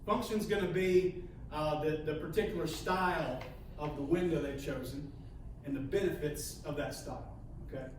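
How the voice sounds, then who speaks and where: A man leading a sales training session, halting and repeating words, often ending points with "okay?". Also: on the high side, darkish tone. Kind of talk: coaching a training session with role-play. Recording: echoey room, mic far off, faint hiss.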